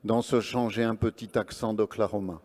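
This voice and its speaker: A man's voice: on the low side, steady tone, moderate pace, a little mellow. A man presenting and interpreting live at an event on stage, speaking earnestly phrase by phrase. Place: large event hall on stage. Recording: long reverb of a large hall.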